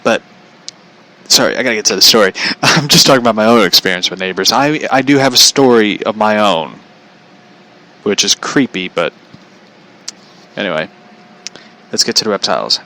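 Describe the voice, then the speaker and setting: Bright-voiced American man, casual storyteller. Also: lowish voice, animated, moderate pace, long pauses, low-quality recording. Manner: blunt, profane, slangy; chuckles often while talking. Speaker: show host and storyteller.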